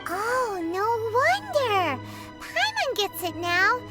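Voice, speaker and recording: A woman voicing a tiny, cheeky, childlike fairy companion in a game, squeaky and chirpy with a blunt way of talking. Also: very animated, slow, full character voice. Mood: cheeky realization. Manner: chirpy, blunt, speaks of herself in third person. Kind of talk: scripted game cutscene voice acting. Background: prominent game music.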